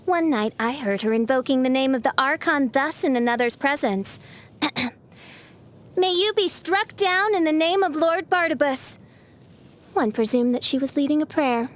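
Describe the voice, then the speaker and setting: A woman's voice: high-pitched, animated, normal speed. A woman voicing a haughty teenage girl in a video game who poses as a princess, speaking in pompous, archaic, theatrical phrases. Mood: grandiose. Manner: pompous, archaic, grandiose declaiming. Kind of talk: scripted video game character voice lines.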